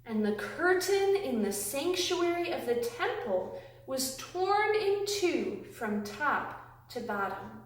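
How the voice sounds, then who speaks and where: A woman reading aloud and teaching young children, with simple, deliberate, emphatic phrasing, recorded in a classroom. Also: sits high, sing-song. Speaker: children's Bible storytime host, reading aloud. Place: indoors, a classroom. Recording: very echoey room, distant microphone.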